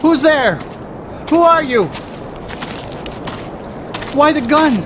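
A man's voice: extremely high pitch, extremely slow, exaggerated voice. An actor playing an impatient, uneasy young man stranded at night in a radio drama, curt and agitated. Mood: alarmed. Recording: noisy night-time drama soundscape.

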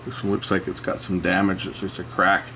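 A man's voice: low voice, little pitch movement, brisk, sharp tone, slight background noise. A man giving casual hands-on commentary as a host, rambling and thinking aloud as he goes. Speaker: host showing old records. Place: indoors at a cluttered electronics workbench.

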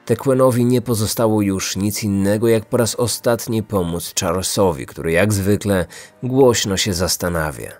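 A man's voice: lowish voice, moderate pace, darkish tone, no room sound. A man narrating a scripted true-crime story as a podcast host. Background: background music.

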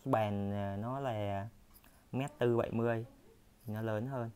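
Chatty man, low voice. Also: steady tone, unhurried, darkish tone. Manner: chatty, repetitive, filler-heavy. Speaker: furniture workshop seller. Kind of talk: casual sales walkthrough. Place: furniture workshop floor.

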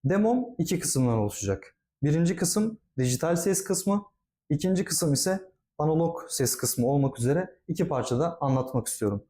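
A man with a slightly high, soft-edged voice presents a product demonstration. He is polite and formal, walking through each step in an orderly, explanatory way. The tone is mellow and relaxed. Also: moderate pace, a little hiss or hum.

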